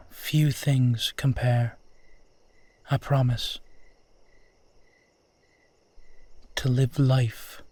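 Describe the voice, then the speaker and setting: Man playing a slow-spoken, courtly vampire. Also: mid-range voice, dry recording. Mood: wistful, enchanted. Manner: courtly, formal, measured, tender. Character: courtly, wistful, gentle immortal male vampire. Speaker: voice actor in audio roleplay. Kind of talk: intimate scripted roleplay monologue addressed to listener.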